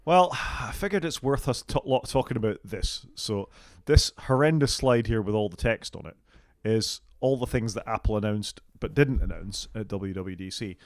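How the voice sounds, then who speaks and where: A British man co-hosting a casual tech podcast, going through a list of features and giving quick, opinionated takes. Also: lowish voice, expressive, no echo, studio quality. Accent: British English. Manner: fast-talking, opinionated, goes down the list item by item. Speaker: podcast co-host.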